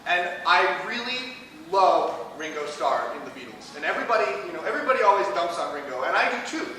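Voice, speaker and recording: A man's voice: sits high, sing-song, fast, bright, forceful tone, a little hiss or hum. An American man doing a rambling improv monologue on stage, laughing at his own stories. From United States. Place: small theater stage. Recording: echoey hall from far off, with crowd.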